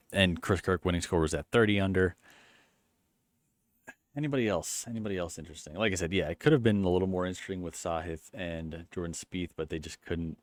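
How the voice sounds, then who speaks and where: A man hosting a golf channel, giving a casual rambling recap in a flat, low-energy monotone full of fillers and restarts. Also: no echo.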